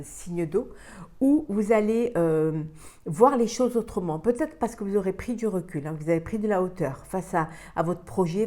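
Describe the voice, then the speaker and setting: A woman with a cold reads cards for the week at her own even pace. Her voice is mellow and warm. She hesitates often, filling gaps and repeating words as she goes. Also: mid-range voice. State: has a cold. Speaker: tarot reader. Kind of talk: weekly astrology card reading.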